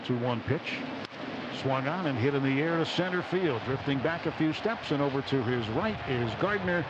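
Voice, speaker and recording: A man's voice: mid-range voice, animated, moderate pace. Man, American accent, baseball play-by-play, live radio commentary, sponsor reads, muffled broadcast sound, noisy ballpark behind. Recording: dull radio broadcast with loud stadium noise. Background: stadium ambience.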